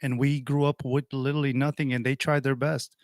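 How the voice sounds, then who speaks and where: A man's voice: mid-range voice, level tone, a little fast, dry recording, studio quality. A man in his forties from Portugal, a radio host telling his life story in a rambling way, restarting and correcting himself. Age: 40s. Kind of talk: personal storytelling monologue.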